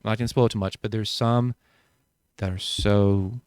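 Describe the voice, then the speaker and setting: A podcast co-host with a lowish voice and a clean, smooth tone. He rambles and jokes in relaxed chat, full of ums and likes. The sound is dry and close to the mic. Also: a little slow, dry recording. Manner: rambling, joking, lots of fillers. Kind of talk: casual chat.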